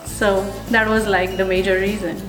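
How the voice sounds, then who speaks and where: A woman's voice: mid-range voice, flat delivery, normal speed. Indian-accented woman, echoey room with music. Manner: hesitant, many fillers and restarts. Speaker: interviewee, physical therapist. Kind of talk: conversational interview.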